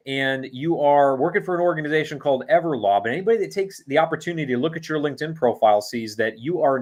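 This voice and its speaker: A man's voice: a little high, darkish tone. A man hosting an interview podcast, introducing his guest and reacting with short affirmations. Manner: affirming, with frequent backchannels. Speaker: podcast host.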